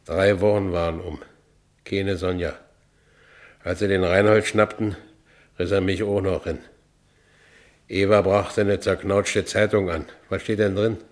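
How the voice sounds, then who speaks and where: A broken, stubborn working-class Berliner in a radio drama. The voice sits low, with a Berlin accent. The lines come in terse, blunt phrases. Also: male voice, fairly even intonation, measured pace, pauses often. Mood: troubled, bewildered. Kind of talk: first-person radio play narration and acting.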